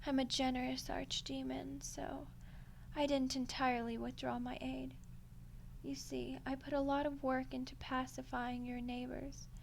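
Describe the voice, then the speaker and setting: A woman playing a smug, condescending female archdemon in a soft-spoken ASMR roleplay, unhurried and menacingly polite. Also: sits high, flat delivery, creaky, slight background noise, rough recording. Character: ancient female archdemon, smug, coolly seductive deal-maker.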